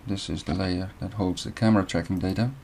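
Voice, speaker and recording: A young man from the Netherlands with a Dutch accent, walking through a software tutorial step by step with short pauses. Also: low voice, steady tone, gravelly, husky. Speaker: video editing tutor. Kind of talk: screen-recorded software tutorial.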